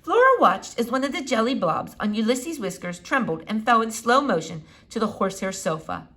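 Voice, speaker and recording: A woman narrates a children's book aloud as a storyteller. Her voice is a little high, and her intonation moves up and down in a lively, expressive way. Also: brisk. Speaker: storybook reader.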